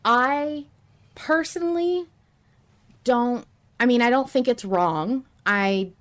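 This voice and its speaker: A woman's voice: fairly high pitch, takes it slowly. A woman hosting a podcast in casual discussion, thinking aloud and hedging her opinions as she goes. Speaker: podcast host.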